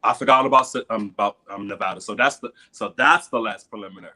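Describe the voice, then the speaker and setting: A man with a bright, sharp-edged voice teases his fellow panelists over a video call. His delivery is playful and quick to poke fun. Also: fairly low pitch. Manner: playful, teasing. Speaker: panelist, pageant titleholder. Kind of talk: casual panel chat.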